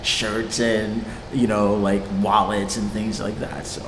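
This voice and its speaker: A man's voice: on the low side, level tone, takes it slowly. A young man who grew up in Haiti, talking casually in an interview with loose slang, swearing, frequent fillers and easy laughter. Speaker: interviewed music producer. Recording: echoey room with long reverb and clear background noise.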